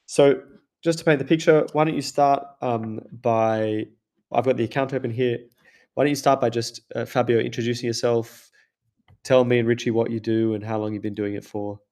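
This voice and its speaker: Mellow-voiced man leading a casual call. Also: medium pitch, steady tone, moderate pace. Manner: chatty, loose, with many "like" and "kind of". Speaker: host, ads consultant. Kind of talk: casual screen-share teardown. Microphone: video call.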